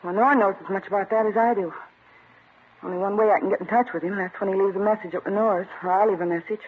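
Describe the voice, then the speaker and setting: An American actress playing a married nightclub dancer, defensive and secretly in love, in 1950s radio police drama style. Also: female voice, medium pitch, steady tone, fast, husky, natural voice. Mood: confessing, uneasy. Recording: noisy muffled old radio broadcast.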